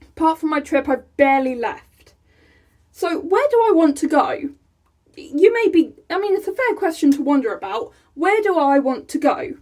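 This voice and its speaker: A young woman from England, chatting casually to her camera in a small room, excitable and laughing often. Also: high voice, normal speed. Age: young adult. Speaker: vlogger. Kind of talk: casual vlog chat. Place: small room by a rainy window, indoors.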